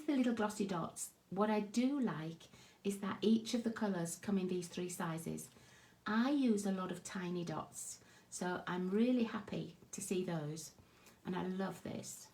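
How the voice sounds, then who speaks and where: Chatty woman with a raspy sore throat demoing crafts live, mid pitch, friendly asides. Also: darkish tone. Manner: chatty, greets viewers by name, self-deprecating. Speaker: craft demonstrator hosting a live stream. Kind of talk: casual live craft tutorial chatting with viewers. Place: craft desk indoors, overhead camera on hands.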